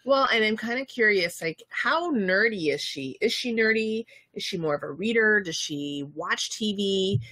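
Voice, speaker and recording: A woman's voice: medium pitch, normal speed, hardly any pauses, no room sound. Stuffy-voiced woman chatting on a livestream. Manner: chatty, excitable, frequent ums. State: stuffed up with a summer cold. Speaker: co-host of a game studio livestream. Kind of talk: casual live chat. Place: home room with bookshelves, indoors.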